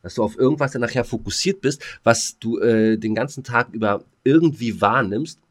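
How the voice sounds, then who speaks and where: A man co-hosting a podcast in casual banter, often pausing to search for his words. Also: medium pitch. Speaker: podcast co-host.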